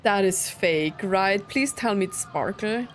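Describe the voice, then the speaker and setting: A woman's voice: mid-range voice, unhurried, a little hiss or hum. A woman giving casual, slangy reaction commentary as she plays a game, thinking aloud. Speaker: gaming streamer.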